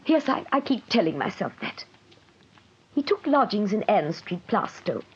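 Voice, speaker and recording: An actress playing a scheming widow who poses as a grieving wife, in 1950s radio drama style. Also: female voice, medium pitch, very animated, moderate pace, lightly acted. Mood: mournful. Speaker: claimant widow. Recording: muffled vintage radio transcription with hiss.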